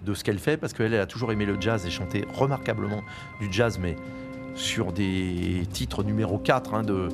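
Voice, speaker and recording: A man interviewed as a music biographer, telling the story in a lively, colloquial way. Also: lowish voice, normal speed. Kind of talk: casual interview talk.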